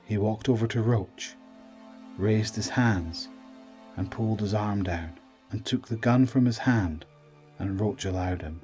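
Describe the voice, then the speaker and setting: A man's voice: fairly low pitch, dark tone. A man giving dramatic scripted narration for an audio drama.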